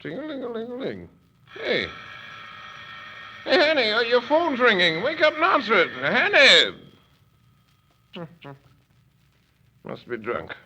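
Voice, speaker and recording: A man puts on a sozzled posh gentleman who slurs and drawls along slowly in a high, bright, swooping voice. He rambles chummily at strangers. The recording is dull and lo-fi. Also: wildly swooping pitch, noisy background, heavily acted. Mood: sleepy. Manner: slurred, singsong, chummy "old fellow" talk. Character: drunken upper-class man, rambling, nosy. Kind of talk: old-time radio drama acting.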